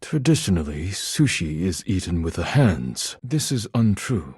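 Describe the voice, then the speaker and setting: A man voicing a solemn, proud, stern Japanese omakase chef in a video game, speaking in formal, measured phrases. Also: deep voice, sing-song, a little mellow, slightly rough, no echo, heavily acted. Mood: formal, explanatory. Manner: formal, measured, clipped phrasing without contractions. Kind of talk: scripted video game character dialogue.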